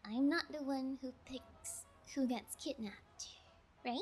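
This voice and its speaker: A woman's voice: sits high, a little mellow, soft background music. A woman playing a callous, playfully menacing kidnapper in an ASMR roleplay, talking in a taunting, nonchalant way. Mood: playful, smug. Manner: taunting, nonchalant, slow and deliberate. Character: callous female yangire kidnapper, playfully menacing, bullying sociopath. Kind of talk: ASMR audio roleplay acting.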